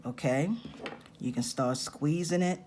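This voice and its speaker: Slow-talking raspy woman teaching crafts. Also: deep voice, darkish tone. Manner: slow, patient step-by-step instructions. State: raspy-voiced this morning. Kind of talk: hands-on craft tutorial.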